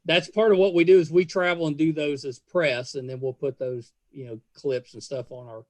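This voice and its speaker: Effusive, halting male podcast host. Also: fairly high pitch, steady tone, a crowd in the background. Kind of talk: casual interview chat and sign-off.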